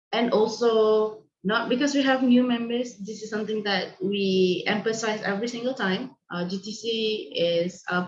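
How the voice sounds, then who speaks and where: A woman's voice: mid-range voice, slight background noise. A woman from Malaysia hosting an online teacher meeting on a video call, giving friendly instructions with frequent ums and restarts. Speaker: online session host and co-founder. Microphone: video call microphone. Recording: dull lo-fi echoey call audio.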